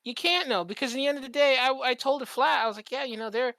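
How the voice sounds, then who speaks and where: A man's voice: extremely high pitch, quick pace, pushed and bright, no echo. A man talking casually as a podcast co-host, rambling and candid, swearing freely. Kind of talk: casual chat.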